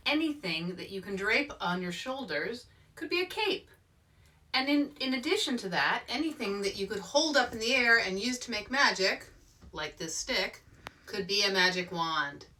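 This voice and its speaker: A woman's voice: a little high, bright, forceful tone, far from the mic, rough recording. A woman hosting story time for young children, reading aloud expressively and speaking directly to her listeners.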